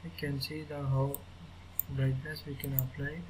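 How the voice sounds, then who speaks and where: A man with an Indian English accent walking through a software tutorial, speaking haltingly as he clicks through steps. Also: medium pitch, little pitch movement. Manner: halting, thinking aloud as he clicks. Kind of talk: screen-recorded software tutorial. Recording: noisy screen recording.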